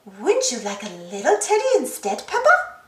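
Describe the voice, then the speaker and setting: A woman voicing a confident, encouraging mother pig in a storybook, gentle but determined. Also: high-pitched, huge pitch swings, normal speed, echoey room, heavy background noise.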